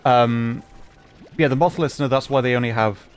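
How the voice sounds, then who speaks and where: A man's voice: mid-range voice, moderate pace, smooth. A man casually chatting on a gaming livestream, thinking aloud in a hesitant way. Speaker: streamer. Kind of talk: casual livestream gameplay chat.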